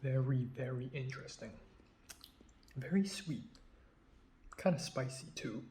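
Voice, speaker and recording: A young man chatting casually in a vlog, tasting food and recounting his day, his voice a little raspy after a long phone call. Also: medium pitch, measured pace, a little hiss or hum. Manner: relaxed, chatty, drifting between soft murmurs and louder remarks. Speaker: vlogger.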